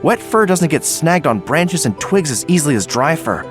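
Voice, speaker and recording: An actor playing an earnest, excitable young male army general in a video game. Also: a little high, normal speed. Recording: game audio with loud music behind. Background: background game music.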